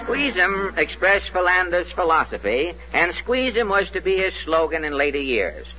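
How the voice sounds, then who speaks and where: A man's voice: sits high, sing-song, moderate pace, over music. An American man narrating a scripted 1940s radio comedy in a mock-solemn, declamatory way. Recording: dull old radio broadcast.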